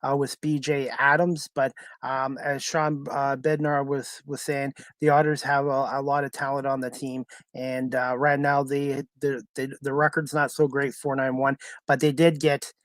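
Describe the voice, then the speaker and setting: A man's voice: mid-range voice, little pitch movement, normal speed, few pauses, a bit bright, no room sound. A man hosting a sports talk show, chatting casually in a rambling, repetitive way.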